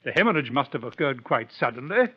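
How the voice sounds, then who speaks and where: An actor playing a pompous, self-assured country doctor with a formal, dismissive manner in a radio drama. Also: male voice, medium pitch, sing-song, fast talker, slightly rough. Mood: firm, huffy. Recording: dull old radio recording.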